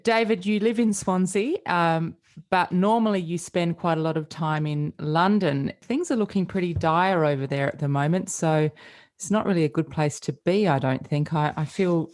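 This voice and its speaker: Low-voiced woman host. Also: darkish tone, no echo, studio quality. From Australia. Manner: measured, clear and deliberate. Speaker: podcast host. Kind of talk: scripted intro then interview questions.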